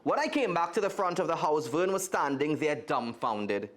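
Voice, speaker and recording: A man's voice: on the high side, very animated, normal speed, fairly bright tone. A man with a Trinidadian accent reading a children's story aloud expressively and speaking directly to young listeners. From Trinidad and Tobago. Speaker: guest storyteller.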